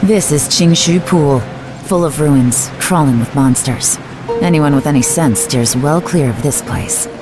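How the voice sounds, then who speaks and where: A low-pitched, self-assured woman spymaster, gives orders with composed authority. She sounds shrewd and dryly confident, in polished video game style over clear background music. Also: a little slow, low-quality recording. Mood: cool, alert.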